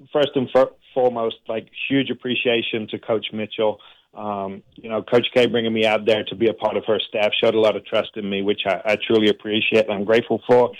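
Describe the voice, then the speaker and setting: Coach, flat even pitch, earnest guest on a radio call. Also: male voice, poor audio. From England. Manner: grateful, earnest, flowing storytelling. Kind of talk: radio interview answers.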